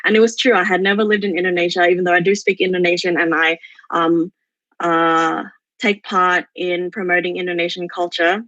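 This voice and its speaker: A young Australian woman speaks hesitantly as a presenter at an online forum, stopping often mid-phrase. Her voice sits a little low. The sound is dry and close, with the slightly muffled, lo-fi quality of an internet call. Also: little pitch movement, fairly quick, fairly bright tone, dry recording. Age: 20s. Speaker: forum speaker, exchange program alumna. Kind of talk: semi-prepared talk at an online forum. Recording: dull, lo-fi online call audio.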